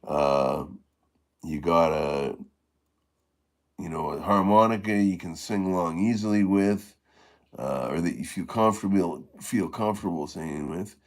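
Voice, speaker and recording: Slow, deep-voiced man rambling with fillers. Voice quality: low voice. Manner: rambling, halting, many fillers and restarts. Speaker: harmonica player giving tips. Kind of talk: casual live-stream chat.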